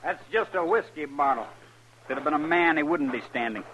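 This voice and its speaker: An actor with an American accent playing a stubborn, defiant young gunslinger in a 1950s radio western, terse and folksy. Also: male voice, fairly high pitch, lively intonation, a little fast, a bit bright, slight background noise. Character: brash, stubborn young male gunslinger seeking revenge. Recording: muffled old radio recording.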